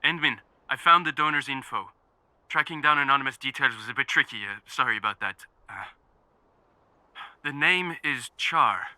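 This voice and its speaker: A man's voice: medium pitch, normal speed, pushed and bright, dry recording. An actor voicing a timid, apologetic young male helper in a video game, nervous, stammering and deferential. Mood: apologetic, flustered.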